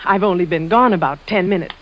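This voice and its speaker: An actress playing a secretive, evasive wife in a radio suspense drama, defensive and tightly controlled. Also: female voice, lowish voice, fast, close to an everyday voice. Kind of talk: 1940s radio suspense acting. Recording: dull, hissy vintage radio recording.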